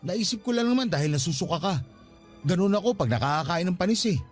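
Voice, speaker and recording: A man's voice: high voice, animated, quick pace. An actor playing an earnest, anxious young father in a Tagalog radio drama, speaking conversationally with many questions. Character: earnest, anxious young father proposing to his estranged partner. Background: music bed.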